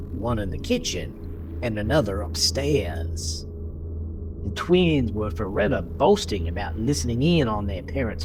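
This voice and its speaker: Slow, dramatic male horror narrator over music. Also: fairly low pitch, low-quality recording.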